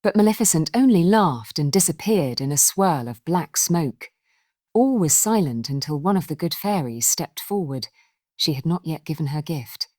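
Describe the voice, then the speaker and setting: A woman with a low-pitched voice tells a children's fairy tale. Her delivery is expressive and measured, with lively rises and falls, and the recording is close and dry. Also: dry recording. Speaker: storyteller.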